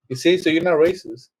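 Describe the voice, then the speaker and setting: A man's voice: on the high side, gravelly, breathy edge. Foul-mouthed teasing co-host man. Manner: profane, joking, teasing. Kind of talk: casual interview chat.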